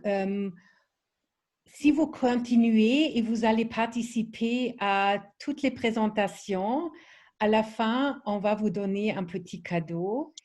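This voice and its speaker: German-accented woman, slow and hesitant. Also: mid-range voice, mellow. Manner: hesitant, many fillers and restarts. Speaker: presenter of a training webinar. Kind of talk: online training presentation.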